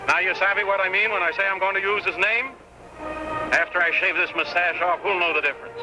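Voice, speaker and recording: An actor playing a ruthless, scheming outlaw killer with menacing tough talk in an old radio western. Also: male voice, sits high, fast talker, lightly acted, poor audio. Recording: vintage radio drama with music bed.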